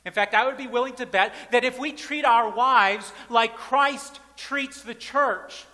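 A man in his thirties, a pastor, preaching at a pulpit in a large church sanctuary with emphatic, repeated phrases that swing between loud and soft. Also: very high-pitched, a little slow. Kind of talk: sermon. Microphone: pulpit mic, far camera. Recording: church hall reverb.

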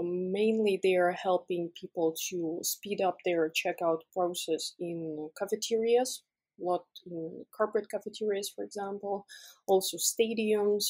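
A woman's voice: lowish voice, a little mellow, breathy edge. A young woman from Russia, a guest on an interview podcast, explaining her work in a friendly way with frequent "uh" and "um". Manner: friendly, explains step by step with fillers. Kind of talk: casual interview answers.

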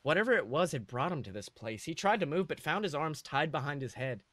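Higher-pitched man reading a story aloud. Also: expressive, brisk, a bit bright, no echo. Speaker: podcast host reading fan fiction aloud. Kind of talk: comedic story narration.

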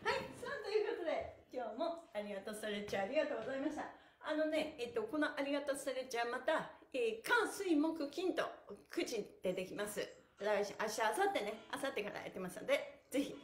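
A woman's voice: high voice, expressive, quick pace. A middle-aged woman leading a cheerful exercise livestream as an instructor, upbeat and exclamatory with sing-song encouragement. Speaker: stretching instructor and mime performer. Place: small bare indoor studio room, on a mat. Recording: echoey room.

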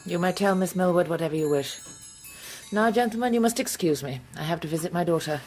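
An actress playing a cold, proud Victorian widow in a radio drama, formal and curt. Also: female voice, fairly low pitch, fast, darkish tone, faint music behind, natural voice. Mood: icy, dismissive.

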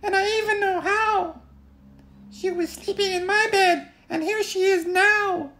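A squeaky little boy cub in a storybook, loud and shrill. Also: fairly even intonation, measured pace, heavily acted. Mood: triumphant. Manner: shrill and shouting. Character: small squeaky boy bear cub, upset.

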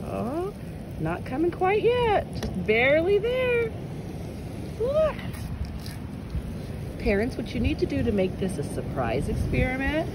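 A woman teacher giving a hands-on demonstration to children and parents, exclaiming and coaxing with questions, recorded outdoors on a windy day. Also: medium pitch. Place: outdoors in wind and sun.

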